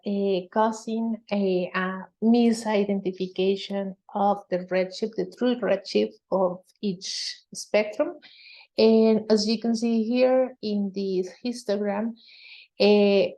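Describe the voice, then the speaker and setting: Slow, dark-voiced woman presenting research. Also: medium pitch. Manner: halting, frequent hesitations. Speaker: researcher presenting a conference talk. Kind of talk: technical slide presentation.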